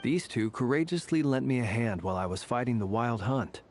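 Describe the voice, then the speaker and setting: A man's voice: medium pitch, animated, fairly quick, a little hiss or hum, close to an everyday voice. A man playing a composed, genteel warrior in a video game, speaking formally and at length. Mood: genial, satisfied. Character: courteous, eloquent, composed gentleman warrior.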